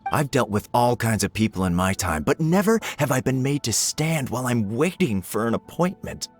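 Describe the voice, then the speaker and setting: A man's voice: mid-range voice, big pitch swings, moderate pace, soft background music. A man voicing a haughty, impatient, pompous wealthy businessman in a video game. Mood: indignant. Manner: complaining, pompous.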